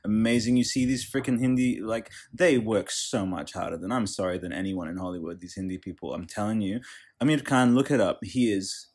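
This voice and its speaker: Rambling, enthusiastic podcast host. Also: male voice, on the low side, fairly even intonation, a little fast, darkish tone. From Australia. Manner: rambling, enthusiastic, many restarts and tangents. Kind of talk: casual interview chat.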